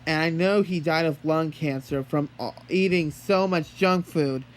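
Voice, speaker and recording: A man talking in an earnest, halting way, as if holding a one-sided phone conversation. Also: fairly high pitch, slight background noise, rough recording. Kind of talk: one-sided pretend phone call, rambling monologue.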